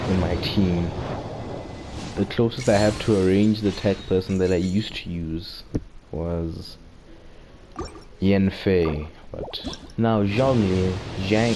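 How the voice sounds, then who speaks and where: Casting: man as casual gameplay streamer, fairly deep, very leisurely pace, talking half to himself and playful. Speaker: gaming video host. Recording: noisy home gameplay recording.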